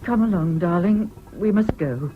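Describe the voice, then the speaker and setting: A woman's voice: lowish voice, lively intonation, unhurried, music in the background. An actress playing a polite, kindly English middle-class mother in a radio drama, genteel and courteous. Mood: concerned. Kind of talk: 1970s BBC radio drama acting. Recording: dull, noisy old radio recording.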